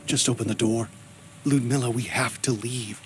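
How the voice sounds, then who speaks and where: An actor playing a troubled, grieving brother in a video game, speaking in an earnest, emphatic way. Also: male voice, mid-range voice, fairly even intonation, moderate pace, slight background noise, lightly acted. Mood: urgent. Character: troubled adult man, grieving brother, agitated and insistent. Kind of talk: dramatic game voice acting with narration.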